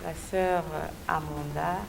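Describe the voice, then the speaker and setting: Heard from off in an echoey hall, a woman from Belgium gives a personal testimony as an interview guest. Her voice is low and gravelly. She searches for her words as she goes, over clear background noise. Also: steady tone, slow. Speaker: gospel singer, interview guest. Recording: distant mic, echoey hall, noisy background.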